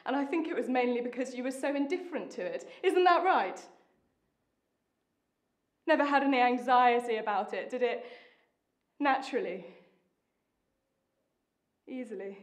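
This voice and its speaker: Woman doing a british wife in a stage monologue, longing and bitter, highish voice, dramatic pauses, really echoey room. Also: lightly acted. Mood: sensual, musing. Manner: intense, deliberate, with dramatic pauses. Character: Maggie, lonely, bitter, longing, determined young wife. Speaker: acting student.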